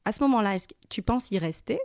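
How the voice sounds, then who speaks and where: A woman's voice: mid-range voice, lively intonation, fast talker, gravelly, darkish tone, smooth, dry recording, clean studio sound. Woman hosting a podcast chat. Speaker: podcast host. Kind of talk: casual interview conversation.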